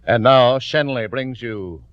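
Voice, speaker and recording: A man's voice: mid-range voice, slow pace, clean tone, no room sound. A man with an American accent announcing a sponsor in 1940s radio style. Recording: muffled old radio recording, loud music.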